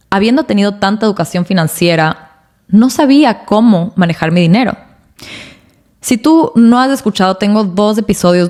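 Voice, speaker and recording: A woman in her thirties from Ecuador, hosting a podcast and telling a personal story in a chatty way, with frequent fillers and emphatic asides. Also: lowish voice. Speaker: podcast host and financial coach.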